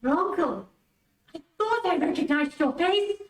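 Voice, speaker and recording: A woman's voice: very high-pitched, lively intonation, unhurried. A woman playing a haughty young captive princess in an old radio serial. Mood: scheming. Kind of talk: 1930s radio serial acting. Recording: echoey room, distant microphone.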